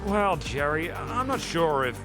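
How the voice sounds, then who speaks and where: A highish, animated male voice for a crude but good-natured mate in a video game. He is blunt and colloquial, with faint music behind him. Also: a little slow, rough recording. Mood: blunt, wry.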